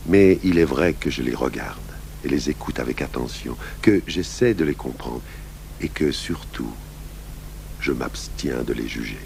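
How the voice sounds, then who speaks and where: A patient, gruff middle-aged detective with an extremely low, gravelly voice. He speaks deliberately, probing and weighing things, in an old radio crime drama recording with faint hiss. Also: a little mellow, exaggerated voice. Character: calm, shrewd middle-aged male police commissioner, patient and gruff. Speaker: lead detective and first-person narrator. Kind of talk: 1980s French radio crime drama acting.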